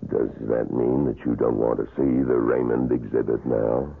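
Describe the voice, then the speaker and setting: Through a tinny old radio broadcast comes a somber wax museum employee. His extremely deep, gravelly voice recounts grim things in a deliberate, grave way, in the style of seventies radio drama. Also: little pitch movement, heavily acted. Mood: somber, polite. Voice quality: gravelly, husky. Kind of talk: 1970s radio drama acting.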